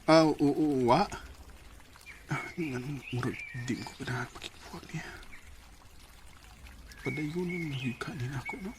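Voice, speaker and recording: A man's voice: medium pitch, unhurried, long pauses, close to an everyday voice. An actor playing an evasive, easily flustered young male student in a radio drama, with a Filipino Bisaya accent and a hesitant, stammering delivery. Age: young adult. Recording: noisy radio drama recording with ambience.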